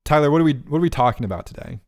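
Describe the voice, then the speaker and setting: A man's voice: fairly high pitch, lively intonation, very fast, a little mellow, dry recording, studio quality. A man co-hosting a podcast in casual banter, teasing his friend, laughing often and tripping over his words. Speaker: podcast co-host.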